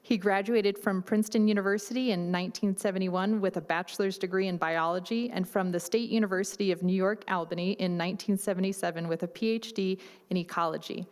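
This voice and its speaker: A woman moderating a symposium, reading a formal speaker introduction in a measured way. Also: medium pitch, fairly even intonation, darkish tone. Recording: long hall reverb.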